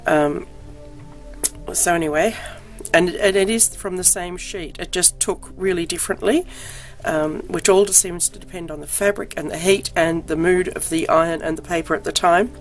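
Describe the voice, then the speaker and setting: Croaky, under-the-weather woman, low-pitched, chatty show-and-tell with faint music behind. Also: steady tone, normal speed. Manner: chatty, frequent ums, self-deprecating. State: croaky voice from illness. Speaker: crafting vlogger.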